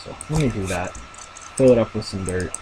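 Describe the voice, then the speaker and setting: A young man casually commenting on his own game as he plays, thinking aloud with lots of fillers and a deep voice. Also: expressive, brisk, noisy background. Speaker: gamer narrating his play.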